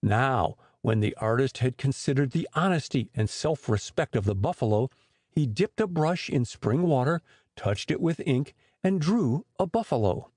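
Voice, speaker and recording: A man with a husky voice narrating a scripted story as an expressive audiobook storyteller. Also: medium pitch, normal speed, no room sound, clean studio sound.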